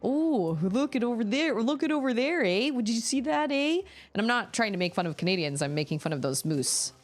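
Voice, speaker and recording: A woman's voice: a little high, sing-song, fairly quick, soft background music. A woman in her thirties chatting casually and rambling while she plays a video game, often breaking off to exclaim at the screen. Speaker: streamer.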